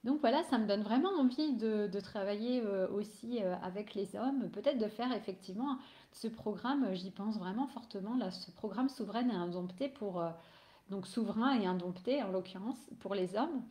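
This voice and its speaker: A woman's voice: medium pitch, moderate pace, warm tone, echoey room. A woman with a cold who is losing her voice, an energy healer talking casually on a live stream, hesitant with many fillers and restarts.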